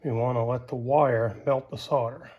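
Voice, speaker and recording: Male DIY host, medium pitch, colloquial and down-to-earth, talking through practical steps while his hands are busy. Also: fairly even intonation, normal speed, muffled recording. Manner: folksy, colloquial. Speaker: host of a DIY how-to video. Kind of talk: casual hands-on tutorial talk while working.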